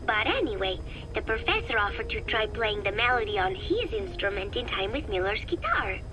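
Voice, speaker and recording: A woman's voice: mid-range voice, very animated, moderate pace, background noise, lightly acted. A woman voicing an earnest, innocent teenage girl in a video game, reading her diary with careful, girlish, musing delivery. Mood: bemused. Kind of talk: in-character audio diary narration.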